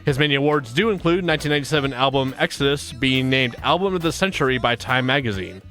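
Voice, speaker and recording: A man's voice: mid-range voice, expressive, quick pace, fairly bright tone, faint music behind. A man in his thirties hosting a casual countdown chat, upbeat, reading out chart facts and laughing often. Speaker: radio show host.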